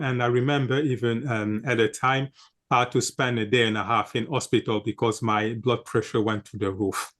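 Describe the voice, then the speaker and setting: Earnest man speaking slowly with fillers. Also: mid-range voice, a bit bright. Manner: earnest, frequent "uh" fillers, measured. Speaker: wellness coach guest. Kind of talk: interview answers.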